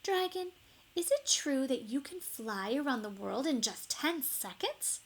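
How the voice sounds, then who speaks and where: Woman voicing a bold, high-pitched storybook princess. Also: big pitch swings, a little slow, heavily acted, poor audio. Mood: challenging. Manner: emphatic, calling out. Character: bold, clever young princess, challenging and flattering. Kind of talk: children's storybook read-aloud.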